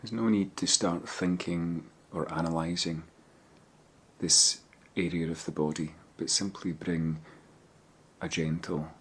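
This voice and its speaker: A man with an extremely low, slightly husky voice guides a meditation. He speaks in a gentle, measured way, leaving pauses between short phrases. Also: steady tone. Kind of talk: guided body scan meditation.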